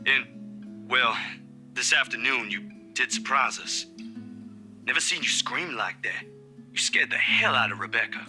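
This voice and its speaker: A man's voice: mid-range voice, lively intonation, a little slow, pauses often, bright, forceful tone. An actor playing a friendly, easygoing young man in a visual novel game. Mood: easygoing, reassuring. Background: game music with guitar.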